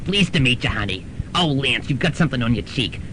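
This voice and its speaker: A man's voice: mid-range voice, lively intonation, a little fast, sharp tone, a little hiss or hum, low-quality recording. Man playing a gossipy psychic aunt. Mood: chatty. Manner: chatty, overfamiliar, then ominous. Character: Aunt Blanche, middle-aged female psychic, gossipy and dramatic.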